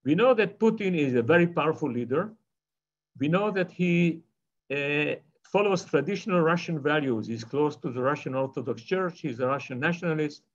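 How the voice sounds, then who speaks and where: An older man delivers an online lecture over a webcam from a bookshelf-lined study. His voice sits a little high for a man, and he wanders through his points with frequent fillers and restarts. The call audio sounds somewhat muffled and lo-fi. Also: normal speed. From Israel. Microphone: video call webcam. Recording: dull lo-fi video call audio.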